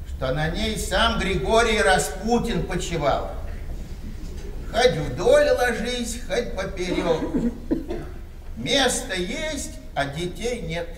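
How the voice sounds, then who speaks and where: An older man acting a meek, plain-spoken, slightly muddled old village father in a Soviet radio play, with halting delivery. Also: high-pitched, fairly bright tone, full character voice. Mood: wistful, musing. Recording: roomy, distant, faintly noisy radio-play recording.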